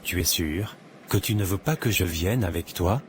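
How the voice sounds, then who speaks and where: Man doing a worried young guy, very low voice, earnest, cartoon. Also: normal speed, a little hiss or hum, close to an everyday voice. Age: young adult. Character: troubled young man, law student.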